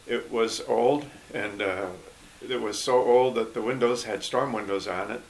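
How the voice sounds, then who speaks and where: Older man with halting, chuckling speech. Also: medium pitch, steady tone, rough recording. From Michigan. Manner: halting, chuckling, with many restarts. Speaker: oral history interviewee. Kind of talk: casual recollection.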